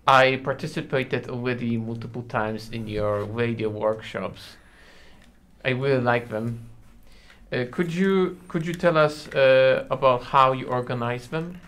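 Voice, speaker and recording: A man's voice: mid-range voice, measured pace, a bit bright. A young adult man putting questions to a guest as an interviewer. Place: small foam-padded radio studio. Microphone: close studio mic, headphones.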